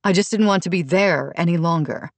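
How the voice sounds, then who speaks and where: A woman's voice: on the low side, fairly quick, creaky, dry recording. A woman voicing a blunt, defensive, sardonic mother in an audiobook. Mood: cool, clipped. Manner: blunt, confrontational. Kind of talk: audiobook character dialogue.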